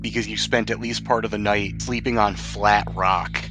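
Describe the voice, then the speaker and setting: A man's voice: fairly low pitch, fairly even intonation, normal speed. A man running a tabletop role-playing game, explaining rules and scenes in detail. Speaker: game master. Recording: faint music behind the voice. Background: faint background music.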